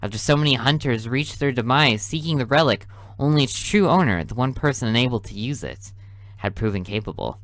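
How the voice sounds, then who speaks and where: A man's voice: mid-range voice, expressive, a little fast, no room sound. Man reading a game story aloud. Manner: reads narration evenly, drops into quiet asides. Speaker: let's play host. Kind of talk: reading a visual novel aloud with asides.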